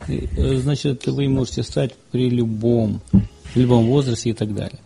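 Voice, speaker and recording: A man hosting a casual panel talk show, speaking in a measured way and reading out numbered questions. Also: mid-range voice, creaky, warm tone, a little hiss or hum, rough recording. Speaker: podcast host.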